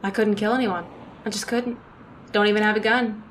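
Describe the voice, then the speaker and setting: A woman playing a sad, resigned young woman who speaks calmly, in old-time radio drama style. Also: medium pitch, fairly quick, a little hiss or hum, lightly acted. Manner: calm, resigned.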